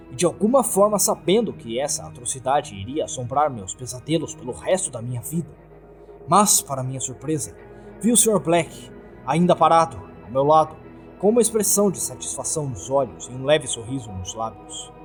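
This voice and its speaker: A man's voice: mid-range voice, sing-song, fairly quick, soft background music. A man with a Brazilian accent narrating a scripted horror story.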